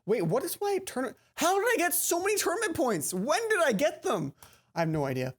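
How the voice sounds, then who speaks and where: A woman's voice: high voice, a little fast. A woman let's player reading a visual novel aloud expressively, now and then stumbling and repeating a line. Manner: expressive, stumbles and rereads lines.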